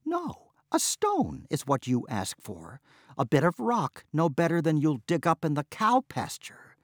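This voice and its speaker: A man's voice: lowish voice, sing-song, slow pace, mellow, husky, no echo, clean studio sound, full character voice. A man voicing an exasperated peasant wife who scolds her foolish husband in a storybook reading. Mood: scolding.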